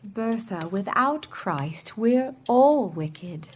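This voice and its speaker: Slow-spoken, patient mother. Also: medium pitch, expressive, slight background noise. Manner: measured, gently correcting. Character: patient, wise, gently instructive mother. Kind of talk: family audio drama acting.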